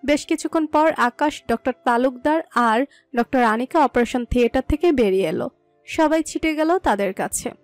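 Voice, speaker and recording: Female storyteller, scripted romantic-drama narration, high voice, dry of nothing but faint echo-free music bed. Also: normal speed.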